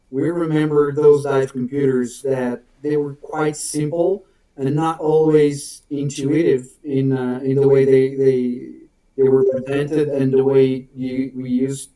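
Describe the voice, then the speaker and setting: A man's voice: medium pitch, dark tone. A man from Portugal with a Portuguese accent and Portuguese as his first language, giving a friendly, self-deprecating guest presentation and laughing easily. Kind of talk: online presentation. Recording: echoey room, distant from mic.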